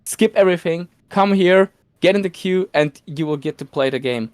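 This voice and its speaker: Polish-native male guest, somewhat high and smooth, excited rambling storyteller. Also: moderate pace, dry recording. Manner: excited storytelling with laughs and restarts. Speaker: podcast guest, cosplayer. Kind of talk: casual podcast chat.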